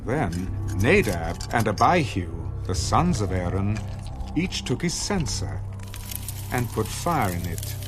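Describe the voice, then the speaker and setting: A man narrating scripture as a scripted dramatized reading, in a measured, solemn manner. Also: lowish voice, sing-song. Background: music under the voice.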